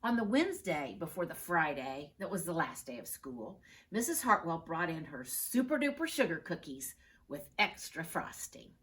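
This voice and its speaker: A woman teacher reading a picture book aloud with expressive storytelling. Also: low-pitched, moderate pace.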